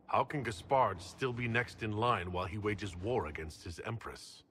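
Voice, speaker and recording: An actor playing a blunt, inquisitive leader of a fantasy army, direct and questioning. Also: male voice, on the low side, moderate pace, fairly bright tone, a little hiss or hum, close to an everyday voice. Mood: probing. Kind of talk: dramatic game cutscene dialogue.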